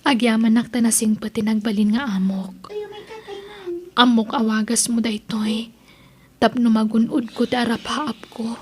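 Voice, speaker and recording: A woman's voice: fairly high pitch, little pitch movement, unhurried, pauses often, darkish tone, close to an everyday voice. A woman playing a dutiful, grateful daughter in her twenties in an Ilocano radio drama, earnest and deferential, with an Ilocano accent. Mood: musing, grateful.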